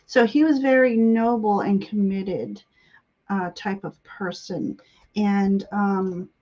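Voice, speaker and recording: A woman giving intuitive readings on a live stream, congested and halting, often clearing her throat. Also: mid-range voice, a little mellow. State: congested sinuses, voice slightly giving out. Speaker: guest psychic tarot reader. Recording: dull, lo-fi stream audio.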